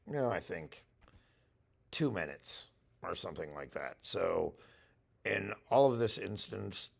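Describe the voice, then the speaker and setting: A man with a gravelly, husky voice, casually walking through a software demo and thinking aloud as he goes. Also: deep voice. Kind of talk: casual screen-share product walkthrough.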